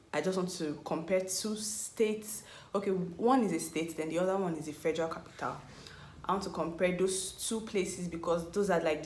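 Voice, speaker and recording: A woman's voice: sits low, a little mellow, a little hiss or hum. A young Nigerian woman in her twenties, talking casually to camera. She rambles, corrects herself and often searches for words. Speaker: vlogger. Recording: echoey room.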